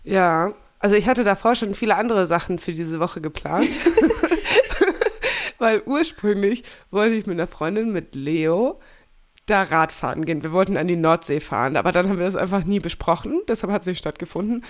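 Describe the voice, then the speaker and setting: A woman's voice: on the low side, lively intonation, normal speed. Young woman host with a cold. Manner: chatty, laughs often, self-correcting. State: has a cold. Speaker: podcast host. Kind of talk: casual chat.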